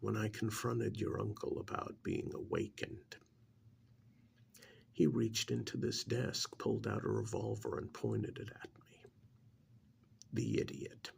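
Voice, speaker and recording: A man voicing an ancient, contemptuous creature in a stolen human body, in a menacing, breathy, gravelly ASMR roleplay monologue. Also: fairly low pitch, big pitch swings, measured pace, long pauses, full character voice. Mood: cold, commanding. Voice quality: gravelly, husky, breathy half-whisper. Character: ancient disdainful male creature possessing a dead man's body.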